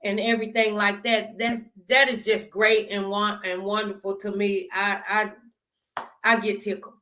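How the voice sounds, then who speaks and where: A woman's voice: mid-range voice, little pitch movement, moderate pace, sharp tone. An American woman hosting a conversational faith interview, speaking in an emphatic, affirming, folksy way. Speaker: talk show host.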